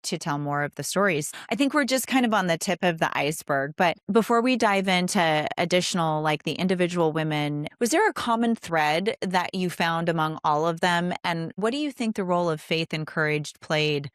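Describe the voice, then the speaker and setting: A woman's voice: on the low side, expressive, moderate pace, few pauses, no echo, clean studio sound. A woman hosting a podcast interview, speaking conversationally in an earnest, effusive way. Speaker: podcast host.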